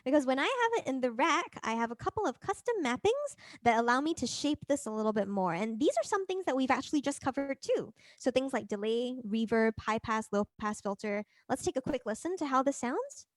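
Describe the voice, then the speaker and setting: A woman with a fairly high voice leads a music software workshop. She talks informally and thinks aloud while demonstrating. The sound is dry and close, with little room in it. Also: big pitch swings, brisk, hardly any pauses, dry recording. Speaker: presenter of a music production workshop.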